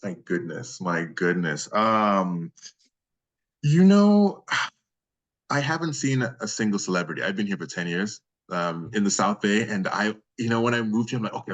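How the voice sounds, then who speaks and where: A man's voice: lowish voice, animated, moderate pace, a bit bright. A man, a freelance voice actor, answering questions in a casual interview, talking effusively and appreciatively in long, rambling answers.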